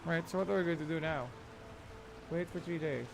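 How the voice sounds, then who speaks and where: A man's voice: a little high, a little fast, gravelly, noisy background. A man livestreaming a game with casual, off-the-cuff commentary. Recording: game music behind the voice.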